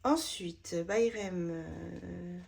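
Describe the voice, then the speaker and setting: A woman's voice: on the low side, drawn-out words, creaky, darkish tone, a little hiss or hum. A woman, slightly stuffy from a cold, chatting casually while sorting her budget envelopes, with lots of hesitant fillers. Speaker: budgeting vlogger.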